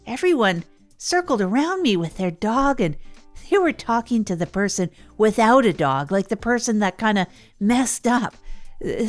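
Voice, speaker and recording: A woman playing an upbeat, awkward, down-on-their-luck local radio host in an improvised comedy audio drama, chatting informally and hesitantly. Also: mid-range voice. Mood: chatty, sincere. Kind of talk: improvised comic monologue, chatty radio storytelling.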